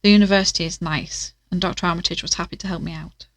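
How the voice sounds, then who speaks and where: A woman's voice: fairly low pitch, fairly even intonation, fast talker, no echo, natural voice. A woman playing an earnest investigator in an audio drama, talking in a thoughtful, conversational way. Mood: polite, businesslike. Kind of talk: audio drama acting, naturalistic diary narration.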